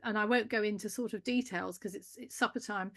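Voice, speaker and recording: A woman co-hosts a live garden chat and talks over a video call. She is animated and warm, and she laughs easily as the conversation moves along. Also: mid-range voice, brisk. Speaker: co-host. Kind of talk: casual chat on a live stream.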